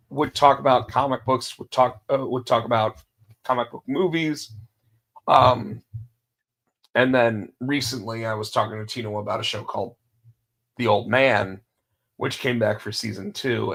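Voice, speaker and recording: A man hosting a casual podcast on his own, under the weather and losing his voice, rambling with lots of fillers, restarts and throat clearing. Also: fairly low pitch, fairly bright tone. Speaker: podcast host. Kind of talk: solo casual wrestling podcast talk.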